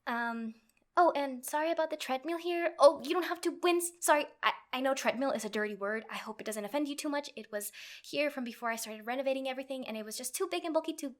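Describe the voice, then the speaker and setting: Woman acting a high-voiced, flustered yandere girlfriend. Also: natural voice. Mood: flustered, eager. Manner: flustered, halting stammers, giggly, rambling. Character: nervous, sweetly clingy yandere girlfriend, flustered and possessive. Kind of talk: girlfriend roleplay audio, comedic.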